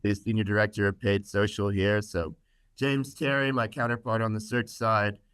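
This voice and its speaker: A man's voice: fairly low pitch, little pitch movement, normal speed, a bit bright, slight background noise. A man hosting a podcast casually, joking with his guests and using lots of fillers. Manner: joking, filler-heavy, upbeat. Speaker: podcast host.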